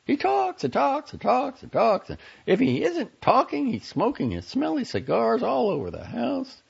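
A man's voice: very high-pitched, huge pitch swings, normal speed, creaky, breathy edge, pitched above the performer's own voice, exaggerated voice. A man reading aloud and voicing a young woman on the phone who is exhausted and exasperated. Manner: pleading, exasperated. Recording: dull lo-fi audio.

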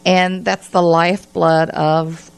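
A woman talks on a radio show in a fairly low voice. There is plenty of vocal fry in it. She explains her points articulately and with emphasis, in a conversational style. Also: level tone, takes it slowly. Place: small radio studio.

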